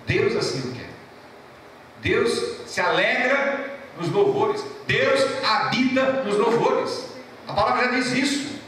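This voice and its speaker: Brazilian pastor preaching in an echoing church. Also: male voice, very high voice, a little hiss or hum. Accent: Brazilian Portuguese. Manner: emphatic, repeats key phrases slowly; storytelling, animated rises and drops. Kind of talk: sermon. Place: church hall. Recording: echoey church from far off.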